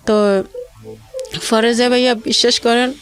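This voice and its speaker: A woman shares her life story as a guest. She speaks plaintively and conversationally, circling back over phrases and halting as she searches for the next one. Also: fairly high pitch, flat delivery, soft background music. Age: adult mother of two. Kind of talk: personal hardship testimony in conversation.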